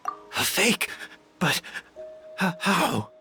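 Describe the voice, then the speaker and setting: A performer playing a weary, embittered, obsessive figure in a video game, faltering and breathy. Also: mid-range voice, sing-song, very slow, sharp tone, soft background music, exaggerated voice. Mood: shocked disbelief. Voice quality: husky, breathy. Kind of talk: dramatic video game voice acting.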